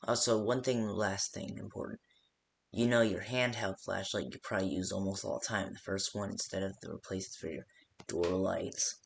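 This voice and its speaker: Casting: male actor as rambling night-shift phone guide for a game, low gravelly voice, hesitant and unpolished. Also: steady tone, normal speed, slight background noise. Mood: forgetful, rambling. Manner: hesitant, rambling, full of stops and second thoughts. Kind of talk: improvised-sounding instructional phone call for a game.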